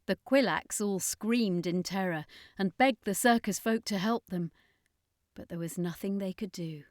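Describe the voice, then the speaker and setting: A woman's voice: medium pitch, a little slow, dry recording, clean studio sound. A woman reading an adventure story as narrator, in a dramatic scripted storytelling style.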